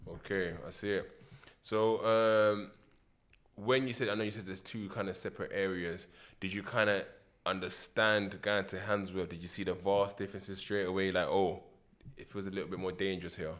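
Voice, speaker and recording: A man's voice: low-pitched, steady tone, moderate pace, a bit bright. A man hosting a casual interview, laid-back and encouraging, answering with frequent yeahs. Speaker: interviewer, host.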